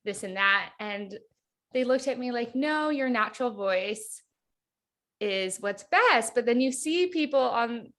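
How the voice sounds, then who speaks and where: A woman's voice: fairly high pitch, animated, measured pace, fairly bright tone. Thirties female guest, casual and reflective, leans on "like" a lot. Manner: chatty, reflective, heavy use of "like". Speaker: hypnotherapist guest. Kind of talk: casual podcast interview.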